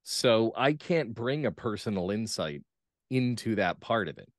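A man talking casually and reflectively as an interview guest, phrasing his thoughts in measured pieces. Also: fairly low pitch, expressive, dry recording, clean studio sound. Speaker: guest playwright and actor. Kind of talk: casual discussion.

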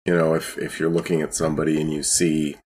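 A man playing a tabletop game, casually and tentatively describing what his character says, with halting phrasing. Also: extremely low pitch, flat delivery, a little mellow, a little hiss or hum.

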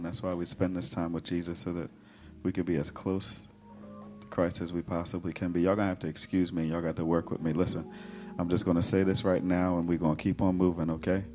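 Man, pastor, very deep voice, hoarse, raspy, preaching, organ music behind. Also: dark tone. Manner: preaching with rising call-and-response energy. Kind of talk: sermon. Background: organ and instrumental music.

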